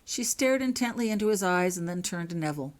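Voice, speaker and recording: Low-pitched woman narrating a story. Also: lively intonation, quick pace. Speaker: author reading own fan fiction.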